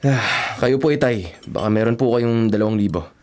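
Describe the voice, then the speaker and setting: An actor playing a hard-up, skeptical younger brother who grumbles in exasperation, in a radio drama. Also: male voice, mid-range voice, moderate pace, natural voice. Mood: exasperated.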